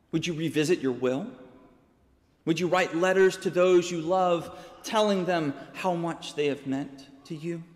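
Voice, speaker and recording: A young American man, a pastor, preaching a sermon from a pulpit with measured, deliberate pauses. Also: sits high. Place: large church sanctuary, preaching from a pulpit. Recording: long church reverb.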